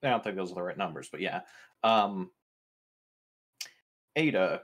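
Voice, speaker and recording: A man's voice: low voice, lively intonation, a little slow, fairly bright tone. A man casually asking questions as a player in a tabletop roleplaying game.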